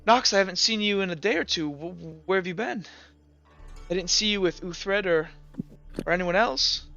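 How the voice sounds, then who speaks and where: A man voicing a tired, worried female guard commander with a halting, grave delivery in a tabletop game. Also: high voice, creaky, pushed and bright, highs cut off, faint music behind, exaggerated voice. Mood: concerned.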